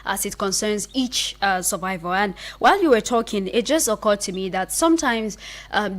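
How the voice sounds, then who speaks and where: A woman with a Nigerian accent, interviewing a guest conversationally as a radio host in a studio. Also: mid-range voice.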